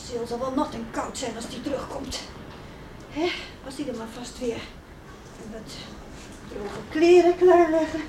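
An actress playing a warm, fussing beachcomber's wife and mother in a Dutch radio drama. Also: sits high, unhurried. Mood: busy, worried. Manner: tender, fussing, exclaiming. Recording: noisy old radio play recording.

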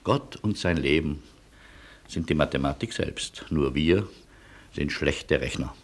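A man playing an ironic, resigned Polish refugee who argues in a measured, philosophical way in a German radio play. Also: rumbling low, full character voice. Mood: wry. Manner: measured, argumentative, wry.

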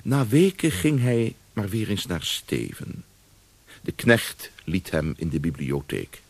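A man playing a grave storyteller, narrating a historical radio drama in a measured, dramatic way. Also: deep voice, big pitch swings, gravelly, no room sound, exaggerated voice.

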